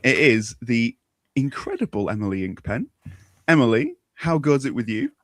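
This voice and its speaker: A man's voice: medium pitch, big pitch swings, moderate pace. A man hosting a casual livestream chat in a playful, jokey, enthusiastic way. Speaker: host.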